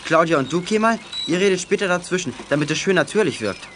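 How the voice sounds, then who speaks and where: A male actor playing a bright, confident boy in a German children's radio play. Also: high-pitched, expressive, quick pace, slight background noise. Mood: explanatory. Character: bright, confident boy, explaining things to friends.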